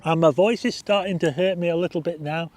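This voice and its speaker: A Northern English man hosting a live stargazing stream outdoors at night, chatting casually, reading out viewer names for a quiz, his voice a little croaky. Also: fairly high pitch, brisk, darkish tone, no echo. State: slightly croaky. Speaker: astronomy livestream host.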